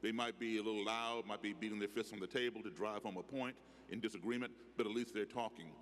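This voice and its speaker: A man's voice: fairly low pitch, steady tone, fast. A middle-aged man speaking emphatically as a panelist, handheld mic on a stage in a large hall. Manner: emphatic, preacherly cadence. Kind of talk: panel discussion. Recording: hall reverb, faint background noise.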